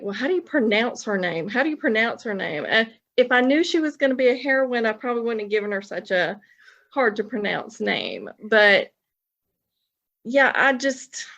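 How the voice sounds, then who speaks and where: A woman's voice: mid-range voice, fairly bright tone. A woman talking casually as a guest in an informal conversation, recorded in dull, lo-fi sound. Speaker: podcast guest, novelist. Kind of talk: casual chat. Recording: dull, lo-fi audio.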